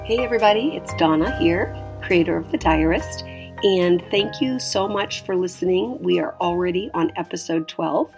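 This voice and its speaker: Slightly low-voiced woman podcast host. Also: normal speed, over music. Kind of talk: informal podcast outro announcement.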